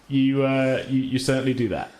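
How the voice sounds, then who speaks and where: A man's voice: mid-range voice, flat delivery, moderate pace, a little mellow. A man casually narrating a tabletop game as game master, in an informal, jokey way. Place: echoey indoor room. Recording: roomy, echoing home recording.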